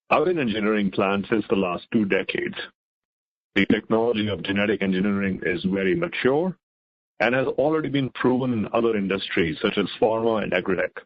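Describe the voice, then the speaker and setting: Slightly muffled, dry conference-call audio carries a middle-aged man with a fairly low voice. He reads a scripted investor presentation as a science executive. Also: dry recording. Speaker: chief science officer presenting. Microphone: conference call line.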